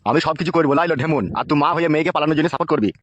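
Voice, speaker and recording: A man's voice: a little high, very fast, no room sound, close to an everyday voice. A man voicing a blunt, scolding middle-aged village father in a bawdy cartoon. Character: gruff, irritable, foul-mouthed middle-aged village father. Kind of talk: bawdy cartoon comedy acting. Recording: dull lo-fi cartoon audio.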